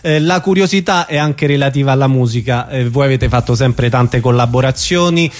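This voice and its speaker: A man's voice: medium pitch, fairly quick. A man hosting a casual radio music interview, with informal, hesitant phrasing.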